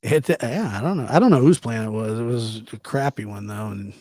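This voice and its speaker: A man's voice: medium pitch, brisk, mellow, no echo, studio quality. A man hosting a livestream, chatting casually and reacting to viewer comments in an informal, teasing way, often repeating himself. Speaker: livestream host. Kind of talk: casual live chat commentary, reading and answering viewer comments.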